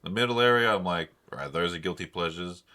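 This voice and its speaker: Deep, bright-voiced Australian male podcaster. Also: fast talker. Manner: rambling, hedging, heavy use of "like". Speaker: podcast co-host. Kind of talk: casual pop-culture podcast chat.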